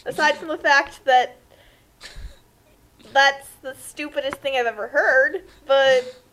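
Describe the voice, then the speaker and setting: A woman's voice: high-pitched, steady tone, slow pace, a bit bright, rough recording. A woman from the United States giving casual, riffing commentary as a co-host.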